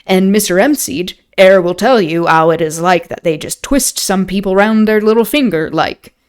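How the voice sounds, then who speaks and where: A woman's voice: medium pitch, takes it slowly, a little mellow, clean tone, close to an everyday voice. A woman voicing an irritable rustic English man at a pub in a novel, folksy and moralizing. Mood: explaining.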